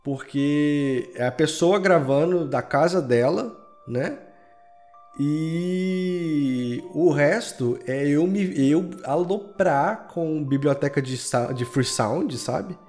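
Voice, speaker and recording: A man's voice: a little high, slow pace, a little mellow, soft background music. A Brazilian man in a casual interview chat, talking as a podcast guest in an enthusiastic, slangy, rambling way. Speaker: podcast guest, audio drama creator and editor.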